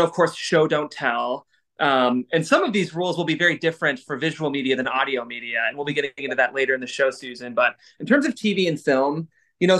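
A man's voice: fairly high pitch, a bit bright. A man co-hosting a podcast in casual chat, talking in an enthusiastic, reflective way. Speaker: podcast co-host and producer.

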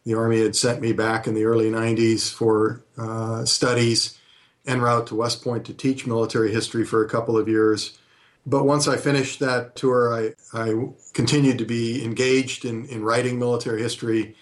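A man in his fifties from California speaks with a flat, steady delivery that barely rises or falls. His pitch sits in the ordinary middle range. He answers interview questions thoughtfully, pausing often on drawn-out "uh"s as he gathers his words. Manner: measured, frequent hesitant "uh" pauses. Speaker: interview guest, retired army colonel and history professor.

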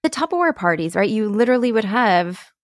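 A woman's voice: mid-range voice, sing-song, brisk, no echo, clean studio sound. An American woman co-hosting a podcast in casual chat, thinking aloud in a reflective way. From United States. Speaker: podcast co-host, sustainability writer.

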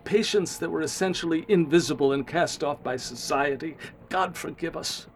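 An actor playing a grave, guilt-ridden male scientist in a radio drama, speaking formally with ominous, measured phrasing. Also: fairly high pitch, a little hiss or hum, lightly acted. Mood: remorseful.

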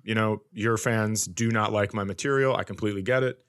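A man's voice: on the low side, steady tone, a little fast, fairly bright tone, smooth. Wry male comedian telling stories. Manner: wry, self-deprecating, conversational. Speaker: comedian and podcast host. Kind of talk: casual comedic storytelling.

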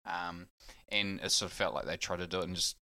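A man's voice: deep voice, steady tone, a little fast, sharp tone, dry recording. A man from New Zealand co-hosting a casual podcast chat, talking with many fillers and restarts. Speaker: podcast co-host.

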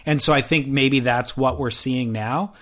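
A man with a medium-pitched voice chats casually as co-host of a sports podcast. His delivery is slangy and conversational, with blunt, opinionated takes. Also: unhurried, fairly bright tone. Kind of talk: casual opinionated chat about pro surfing.

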